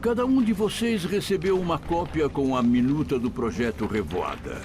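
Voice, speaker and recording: An actor playing a cold, authoritarian general in an audio drama, speaking in a formal, declamatory way. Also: male voice, a little high, animated, normal speed, music in the background, natural voice. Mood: cold, commanding. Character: commanding male general plotting a coup, authoritarian, cold.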